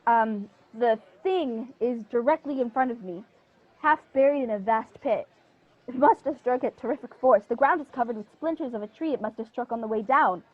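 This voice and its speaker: A woman's voice: fairly high pitch, moderate pace, dry recording, lightly acted. A young woman playing an earnest male news reporter in a radio play. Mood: awed, flustered. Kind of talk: scripted radio play acting. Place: living room, video call. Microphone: earphones with inline mic. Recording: dull lo-fi video call audio.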